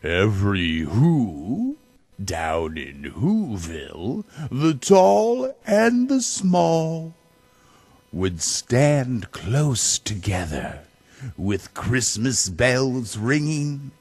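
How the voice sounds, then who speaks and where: Very slow, swooping male storybook reader. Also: mid-range voice, huge pitch swings, darkish tone. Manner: dramatic, drawn-out emphasis and building repetitions. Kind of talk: theatrical children's storybook narration.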